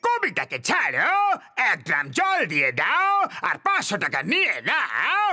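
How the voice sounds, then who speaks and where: A man's voice: extremely high pitch, wildly swooping pitch, normal speed, sharp tone, heavily acted. An actor playing a sly, boastful male swindler in a cartoon, with an oily, declamatory manner.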